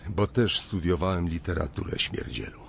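A gruff, confrontational banker in middle age for a radio drama. His low voice crackles with fry and leaps around in pitch. A rumbling noise sits behind him. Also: lively intonation, moderate pace. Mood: defiant, scornful. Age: middle-aged. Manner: confrontational, blunt. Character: gruff, foul-mouthed middle-aged banker, volatile mentor.

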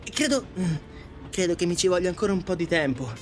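A woman voicing a confused, halting man who has newly died, in an audio drama. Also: lowish voice, animated, faint music behind, lightly acted. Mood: hesitant, searching. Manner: halting, bewildered. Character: confused, hesitant newly dead man, passenger on an afterlife train.

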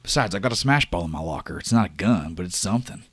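A man voicing a weary, protective male rig worker in a tabletop game, talking in a low, halting way. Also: animated, a bit bright, husky, no room sound, heavily acted. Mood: resolute.

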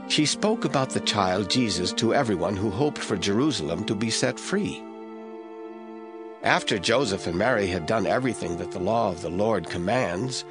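A man giving measured, scripted Bible narration in a storytelling manner. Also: on the low side.

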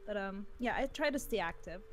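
A young woman with a mixed Belgian and Lithuanian accent, a Lithuanian native, speaking softly and a little hesitantly as a guest in a casual stream interview. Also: medium pitch, expressive, a little fast, slight background noise.

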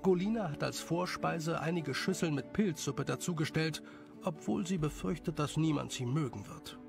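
Man, storybook narrator, gravelly creak, slightly high pitch, music underneath. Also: normal speed.

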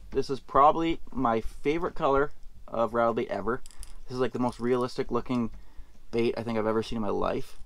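A young man casually explaining his fishing baits and rigs as he fishes inside an ice shelter. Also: mid-range voice. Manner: relaxed explaining, excited and out of breath after running. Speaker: fishing vlogger. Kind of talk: casual how-to talk and banter.

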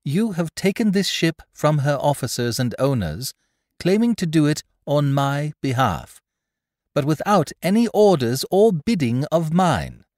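A man's voice: sits high, sing-song, measured pace, darkish tone, dry recording, clean studio sound, voice pushed up. A man voicing a cool, blunt, commanding young woman in a seafaring storybook. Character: commanding, level-headed young woman aboard a mutinous ship. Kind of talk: audiobook character voice, period seafaring speech.

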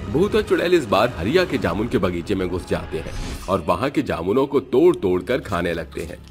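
Animated male story narrator. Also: lowish voice, normal speed, soft background music. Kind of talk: scripted cartoon story narration.

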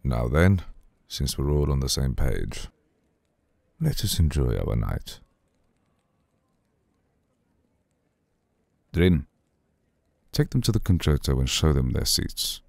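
Man voicing an extremely deep, gravelly old vampire lord. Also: sing-song, darkish tone, dry recording, heavily acted. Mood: authoritative. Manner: formal, unhurried, seductive. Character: suave, centuries-old male vampire lord, courteous, cool, possessive. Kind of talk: ASMR roleplay.

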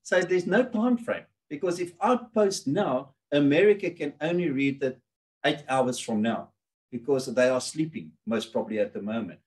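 A man's voice: medium pitch, normal speed, low-quality recording. A middle-aged man from South Africa whose first language is Afrikaans, talking animatedly with his hands as a guest on a video call interview from his home office. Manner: animated, gesturing with both hands. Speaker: guest financial planner. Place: home office with framed pictures.

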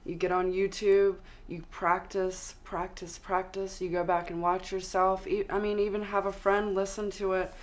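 A young woman with a Southern US accent, a guest on a studio interview, giving steady explanatory advice. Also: mid-range voice, normal speed, hardly any pauses. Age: young adult. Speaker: actress and model guest. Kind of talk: interview answers. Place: studio with city backdrop, seated on sofa.

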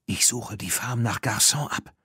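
A man's voice: fairly low pitch, a little slow, pushed and bright, husky, no echo, studio quality, full character voice. A man voicing a practical, spirited teenage girl in a children's audiobook. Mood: resolute.

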